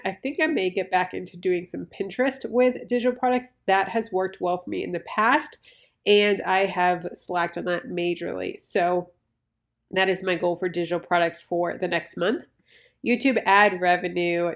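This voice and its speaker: Slightly raspy, congested woman vlogger. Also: mid-range voice. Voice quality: slightly raspy, nasal from a cold. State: sick with flu and bronchitis. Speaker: YouTuber reviewing her online business income. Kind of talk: casual explanatory vlog talk.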